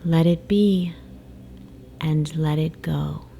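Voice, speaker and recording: Slow, mellow woman guiding sleep meditation. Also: low voice, fairly even intonation. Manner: soft, soothing, breathy phrase endings, long pauses. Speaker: meditation guide. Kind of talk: guided sleep meditation, slow hypnotic narration. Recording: faint background noise, ocean waves. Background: ocean wave sounds.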